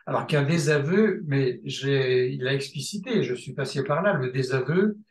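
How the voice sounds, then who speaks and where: A man lecturing at a seminar in a reverberant room, with a middle-pitched voice. He thinks aloud, restarts his phrases and pauses on filler sounds, then drives a point home by repeating it. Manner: hesitant, many restarts and filler sounds, emphatic repetition. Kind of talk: spontaneous seminar teaching.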